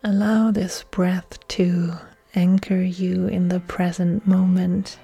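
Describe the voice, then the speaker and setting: A woman yoga instructor leading a guided relaxation meditation in a soft, breathy, soothing delivery with long pauses. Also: lowish voice, flat delivery. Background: soft music.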